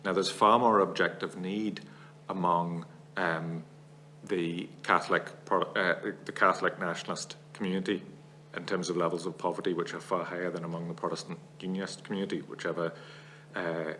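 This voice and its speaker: A man giving formal testimony as a witness before a parliamentary committee, laying out long arguments in a measured, analytical way. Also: deep voice, flat delivery, sharp tone, slight background noise.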